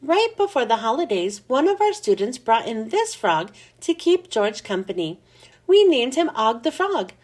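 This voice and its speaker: Lively woman reading a children's book. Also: a little high, moderate pace. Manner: lively, expressive reading with voices for the characters. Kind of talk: storybook read-aloud.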